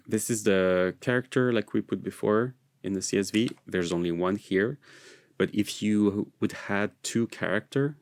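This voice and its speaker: Low gravelly guy, non native english kinda american, walking thru a software tutorial, casual. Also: no echo. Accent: non-native English, American-leaning. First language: not English. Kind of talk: screencast tutorial narration.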